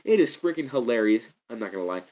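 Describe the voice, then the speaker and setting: A young man with a stuffy, congested voice gives a rambling, excitable movie review, often restarting his sentences. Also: medium pitch, fast. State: congested from a cold. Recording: slightly muffled lo-fi recording.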